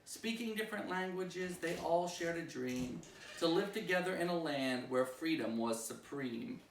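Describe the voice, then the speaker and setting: A man reading a rhyming children's picture book aloud like a school principal at story time, with expressive, singsong phrasing. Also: on the high side, a little slow, slight background noise. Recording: roomy echo, distant microphone.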